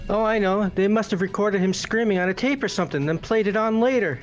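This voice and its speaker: A man's voice: high-pitched, quick pace, soft background music, close to an everyday voice. A man playing a goofy young student in an amateur game dub, loose and casual in delivery. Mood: speculative. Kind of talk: scripted amateur visual novel voice acting.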